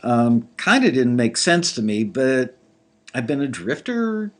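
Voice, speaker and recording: Casual man with a slightly gravelly voice. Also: mid-range voice, big pitch swings, unhurried. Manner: casual, thinking aloud with many fillers. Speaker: music industry reviewer critiquing listener songs. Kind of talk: live teaching commentary.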